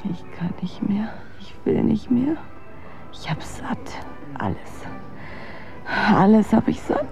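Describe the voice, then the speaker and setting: A woman's voice: mid-range voice, animated, slow, gravelly, pauses often, in a whisper. An actress playing a weary, sardonic, despairing young woman in a radio drama, with blunt, dry retorts. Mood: exhausted, despairing. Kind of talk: German radio drama acting. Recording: dull lo-fi sound, faint music.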